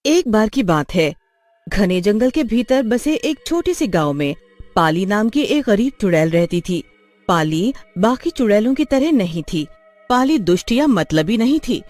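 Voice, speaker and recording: A woman's voice: medium pitch, a little mellow. A woman giving scripted fairy-tale narration as a storyteller.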